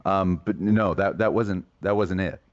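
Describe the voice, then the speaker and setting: A man playing a hesitant, needy colleague in a comic skit, halting and stammering as he prods. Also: low voice, steady tone, no room sound, highs cut off. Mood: hesitant, polite. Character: hesitant, increasingly needy colleague fishing for credit. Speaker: show colleague. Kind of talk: scripted comic skit, conversational.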